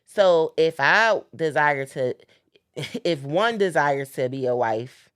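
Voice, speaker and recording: A woman's voice: low voice, lively intonation, slow pace, a bit bright, smooth, no room sound, studio quality. A young woman hosting a podcast, talking earnestly about faith and dreams and repeating phrases for emphasis. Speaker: podcast host. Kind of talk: casual faith-based chat.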